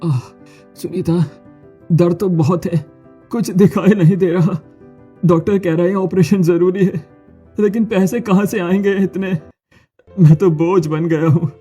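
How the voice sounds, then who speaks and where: A man playing an ailing, despairing husband in an animated story, speaking in pain with a husky voice. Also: high-pitched, a little slow, pauses often, soft background music. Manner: pained, groaning. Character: ailing husband with injured eyes, weary and despairing.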